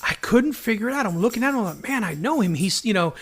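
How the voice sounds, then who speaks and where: A middle-aged man with a high-pitched voice co-hosts a casual podcast. He talks in a jokey, storytelling way, dropping in little quoted asides. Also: expressive, fast, slightly rough. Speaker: podcast co-host. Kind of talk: casual chat.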